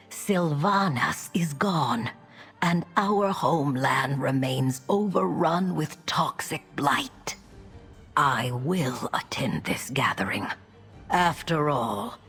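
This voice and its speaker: Game rogue leader: cool undead operative, very low, slow, dry delivery, light music behind. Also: female voice, a bit bright, exaggerated voice. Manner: dry, matter-of-fact. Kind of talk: video game cutscene acting.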